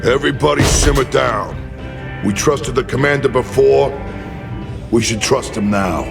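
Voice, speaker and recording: An actor playing a weary, resolute middle-aged rebel commander in a video game, terse and plain-spoken. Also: male voice, a little slow. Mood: firm. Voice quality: low gravelly voice. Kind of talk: video game cinematic acting. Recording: loud guitar music behind.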